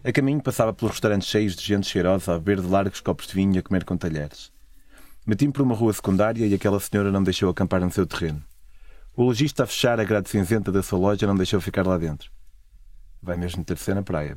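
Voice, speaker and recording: A man's voice: low voice, fast, a little mellow, dry recording. A man with a European Portuguese accent narrating a scripted, literary first-person travel story. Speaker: narrator of own travel stories.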